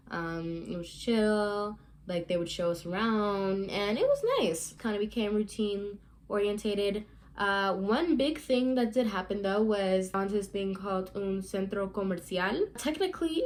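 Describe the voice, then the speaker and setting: A young woman from the United States telling a long personal story to her viewers in a casual, chatty way, full of fillers and asides to the audience. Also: medium pitch. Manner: chatty, addresses viewers as y'all, many fillers. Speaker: vlogger.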